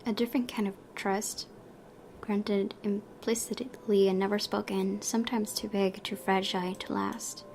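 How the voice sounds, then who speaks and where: A woman's voice: medium pitch, level tone, unhurried, a little mellow, a little hiss or hum. A young woman hosting a gaming video, reading story narration aloud and breaking into casual commentary.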